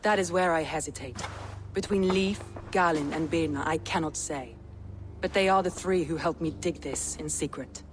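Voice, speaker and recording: A woman voicing a proud, wary Viking clan leader in a video game, with deliberate, solemn delivery. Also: low voice, slight background noise, close to an everyday voice. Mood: troubled. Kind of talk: cinematic video game acting.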